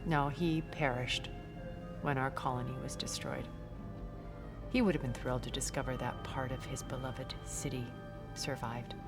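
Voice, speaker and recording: An actress playing a refined, wealthy immortal woman in a video game, speaking in measured, eloquent, theatrical phrases with quiet scorn. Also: extremely low pitch, steady tone, over music. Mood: fond, wistful. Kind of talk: video game character dialogue.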